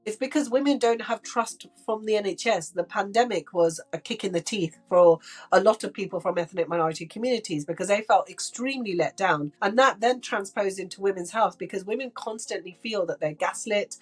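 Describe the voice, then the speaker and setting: A woman's voice: mid-range voice, a little fast, slightly rough. A woman doctor whose first language is Punjabi, speaking as a podcast guest in a passionate, emphatic way and repeating words for stress. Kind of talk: podcast interview.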